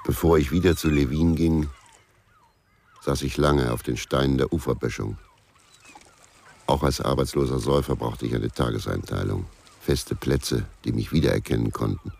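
A man playing a bitter, world-weary ex-policeman who narrates a crime radio play in a gravelly, laconic way. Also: rumbling low, moderate pace, darkish tone, full character voice. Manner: brooding, laconic. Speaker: first-person narrator.

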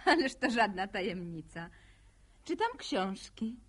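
An actress playing a bookish, self-possessed young village woman in a radio drama, composed, gently teasing and encouraging. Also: medium pitch, very animated, a little slow, husky. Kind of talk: naturalistic radio drama acting.

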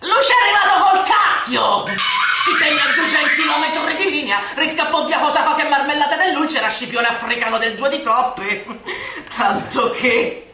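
Theatrical high-voiced woman declaiming in heavy echo. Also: normal speed. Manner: declamatory, mocking, swinging between hushed asides and shouted tirades. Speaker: actress performing a literary monologue. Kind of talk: theatrical radio recitation of satirical prose. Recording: very echoey radio theatre, distant, loud music. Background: loud music and percussion.

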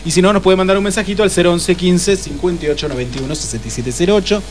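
A man's voice: high-pitched, racing, a little hiss or hum. A man with an Argentine accent hosting a casual live radio interview, chatty and teasing, speaking into a studio microphone. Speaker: radio host. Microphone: shared studio microphone. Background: clear music.

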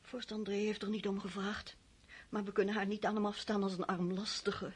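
An actress voicing a worried countess pleading with her son. Her voice is husky and mid-pitched, her manner anxious and coaxing. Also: little pitch movement, brisk, natural voice. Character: anxious aristocratic mother, a countess.

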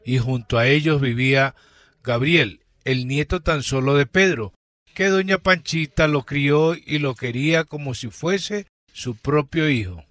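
A man's voice: a little high, a little slow, fairly bright tone. A man with an Ecuadorian accent narrating a radio drama from a script.

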